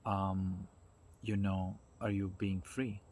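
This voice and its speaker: Male speaker, lowish pitch, informal explainer. Thoughtful, halting phrasing with conversational tags. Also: level tone, darkish tone. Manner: reflective, halting delivery with checking tags like "right?" and "you know". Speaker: speaker giving a self-development talk.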